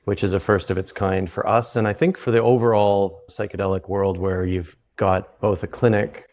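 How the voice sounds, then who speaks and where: A man with a Canadian accent, a guest on an interview podcast, explaining his work in a relaxed way and often restarting his sentences. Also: on the low side, normal speed. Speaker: guest, psychedelic retreat founder. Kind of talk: conversational interview.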